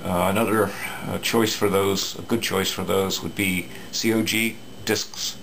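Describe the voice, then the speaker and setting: A man's voice: low voice, level tone, unhurried, gravelly, fairly bright tone, slight background noise, rough recording. A man talking casually at his workbench, explaining a radio repair with many fillers and restarts.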